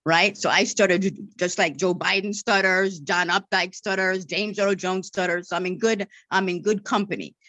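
A woman's voice: mid-range voice, steady tone, moderate pace, few pauses, pushed and bright, no room sound. Lady who stutters, keeps saying right?, dry close mic. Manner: stutters, repeats words, says "right?" often. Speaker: marketing and media relations director, podcast guest. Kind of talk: interview answers.

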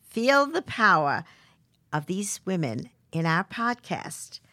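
A woman hosts an interview podcast, reading an upbeat introduction and asking eager questions. Her pitch moves a lot while sitting fairly low. The sound is close and dry. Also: very animated, takes it slowly, sharp tone, dry recording. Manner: enthusiastic, promotional. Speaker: podcast host, publisher.

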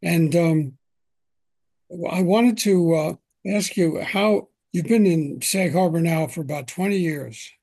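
An older man with a fairly high, husky voice hosts an interview podcast from his home. His speech is gentle and unhurried, with small hesitations, and the recording is close and dry. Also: no echo. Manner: gentle, unhurried questioning with fillers. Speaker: podcast host.

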